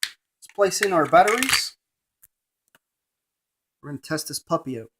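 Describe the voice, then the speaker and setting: A man's voice: a little high, big pitch swings, measured pace, background noise. A man presenting a casual hands-on gadget demonstration, narrating each step in short fragments.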